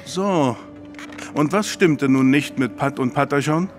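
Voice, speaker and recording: A man's voice: medium pitch, a little slow, close to an everyday voice. An actor plays a sceptical, flippant man in an audio drama. He talks bluntly and drily in a mid-range male voice, with light music behind him. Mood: dry, curious. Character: sceptical, flippant man hearing out a troubled woman.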